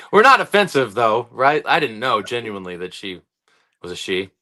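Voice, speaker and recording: A man's voice: medium pitch, very animated, unhurried, sharp tone. A man co-hosting a casual talk show, bantering and laughing easily. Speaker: podcast co-host. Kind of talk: casual chat.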